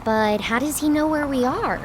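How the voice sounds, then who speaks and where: A woman playing a spirited, quick-witted young girl with a cheeky, challenging manner in a children's audio drama. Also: high voice, slight background noise, lightly acted. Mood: assertive. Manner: challenging, playful.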